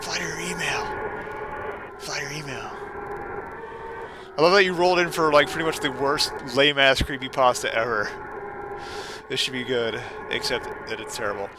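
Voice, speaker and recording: A man's voice: mid-range voice, fairly even intonation, normal speed, long pauses. Sarcastic male game streamer, noisy recording. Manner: sarcastic, self-deprecating asides. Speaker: host of a gaming stream. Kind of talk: casual gameplay commentary mixed with mock dramatic story reading.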